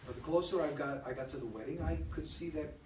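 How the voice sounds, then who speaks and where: A man's voice: fairly high pitch, quick pace, darkish tone, close to an everyday voice. A man in a play reading, playing an earnest, disillusioned man explaining himself to the woman he left. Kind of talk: dramatic stage play reading. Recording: roomy, distant microphone, faint noise.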